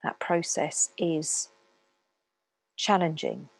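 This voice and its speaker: Slow, low-pitched woman guiding meditation. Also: gravelly, rough recording. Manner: calm, unhurried, with long pauses. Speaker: meditation teacher. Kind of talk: guided meditation talk.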